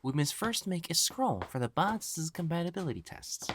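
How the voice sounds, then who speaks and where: A man's voice: on the high side, very animated, moderate pace, noisy background. A man acting in a scripted audio roleplay as an elegant, pompous, easily exasperated young matchmaker of ancient China, speaking in formal, old-fashioned phrases. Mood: imperious, irritated.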